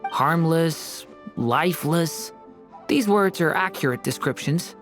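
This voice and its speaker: A gentle young companion in a video game, earnest and polite. The androgynous, mid-pitched voice is formal and deliberate, with faint music behind it. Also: animated. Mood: sober.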